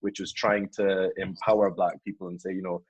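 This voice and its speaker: Casting: young man, husky low voice, earnest guest, dull lo-fi call sound. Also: little pitch movement, fairly quick, no room sound. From Caribbean. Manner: earnest, repeats words while thinking. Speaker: podcast guest. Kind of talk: casual podcast discussion.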